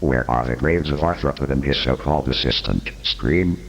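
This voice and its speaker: Man acting as gravelly, very deep interrogator. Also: normal speed, a little hiss or hum. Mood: insistent. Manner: formal, insistent. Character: persistent, probing male interrogator. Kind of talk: scripted analog horror interview.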